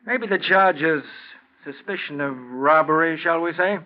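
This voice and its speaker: An actor playing a dry, mock-polite, probing police desk sergeant in a 1940s radio crime drama. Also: male voice, a little high, a little slow, natural voice. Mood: sly, toying. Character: sly, sardonic male police desk sergeant. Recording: muffled 1940s radio recording.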